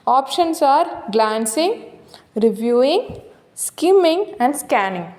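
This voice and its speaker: A woman's voice: high voice, sing-song, takes it slowly, a little mellow. A woman with a South Indian accent teaching, working through exam questions and explaining answers step by step, with small checking tags. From Tamil Nadu, India. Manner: explanatory, checks with "right?" and "okay". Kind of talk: exam question walkthrough lesson.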